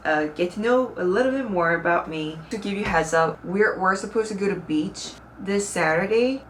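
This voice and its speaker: A young Korean woman talks to her viewers in a casual, earnest way, her English carrying a Korean accent and a few halting turns of phrase. Her voice sits fairly low for a woman. She sounds a little far from the mic, with faint background hiss in the room. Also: fairly bright tone. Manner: chatty, earnest, with hesitant second-language phrasing. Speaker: vlogger. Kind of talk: casual talk to camera. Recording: slightly distant mic with faint room noise.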